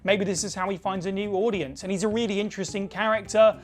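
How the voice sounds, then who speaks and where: A man's voice: sits high, fairly even intonation, quick pace. A man presenting a casual gaming opinion piece, chatty and enthusiastic, with fillers along the way. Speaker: video presenter.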